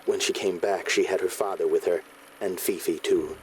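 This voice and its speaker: A man's voice: sits low, steady tone, moderate pace, close to an everyday voice, rough recording. An actor voicing a plain-spoken, subdued man giving testimony in a video game.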